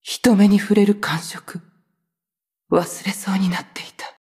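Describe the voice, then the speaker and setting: Game role: vengeful sorceress, cold and haughty, husky tone, slow measured delivery. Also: female voice, mid-range voice, fairly even intonation, a little mellow. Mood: wistful, grieving. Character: proud, vengeful sorceress who betrayed her cursed clan. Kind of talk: video game character voice lines in Japanese.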